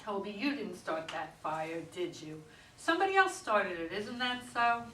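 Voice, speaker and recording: A woman's voice: mid-range voice, animated, normal speed, fairly bright tone, natural voice. A woman in a stage play reading, playing a persistent, no-nonsense police detective with firm questioning. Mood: probing. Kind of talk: stage play read aloud by readers' theater. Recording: echoey room, distant mic, faint noise.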